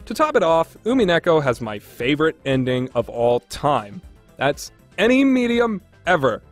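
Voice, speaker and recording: A man's voice: on the high side, big pitch swings, normal speed, soft background music. A man giving a casual, opinionated game review in a blunt, conversational way. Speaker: video game reviewer hosting a podcast.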